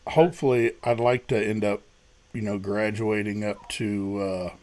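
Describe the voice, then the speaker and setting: A man with a deep voice, a guest musician talking casually and rambling about his recording struggles. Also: steady tone, normal speed, darkish tone, slight background noise. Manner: self-deprecating, rambling, many restarts. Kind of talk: casual livestream chat.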